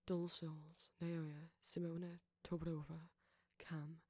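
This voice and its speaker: Even, breathy man reading an outro. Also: fairly high pitch, slow, no echo, studio quality. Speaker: ASMR audio creator. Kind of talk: scripted outro, reading thanks and names.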